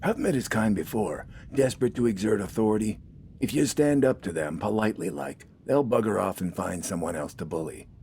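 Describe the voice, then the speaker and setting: A man's voice: lowish voice, lively intonation, brisk, vocal fry, mellow, a little hiss or hum, voice pushed up. A man voicing a wry, weary older farmer turned militiaman, stubbornly outspoken in a folksy, grumbling way. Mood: dismissive. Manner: folksy, grumbling, plain-spoken.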